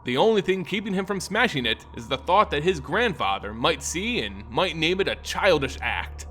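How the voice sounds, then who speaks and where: A man's voice: a little high, big pitch swings, normal speed, pushed and bright, slight background noise. A man narrating a scripted fantasy story in a theatrical, brooding manner.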